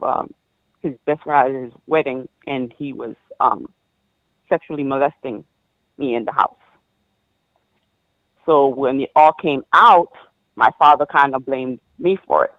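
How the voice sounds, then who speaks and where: A woman of about forty, born in Haiti, calling in to a show and recounting her life in a halting way, full of ums and restarts. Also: sits low, dry recording. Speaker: caller. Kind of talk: personal story on a call-in show. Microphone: phone. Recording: dull dry phone line.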